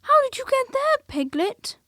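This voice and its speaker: A woman's voice: extremely high pitch, very animated, a little slow, pushed and bright, dry recording, clean studio sound, pitched above the performer's own voice, full character voice. A woman voicing a cheerful, kind young boy in a storybook.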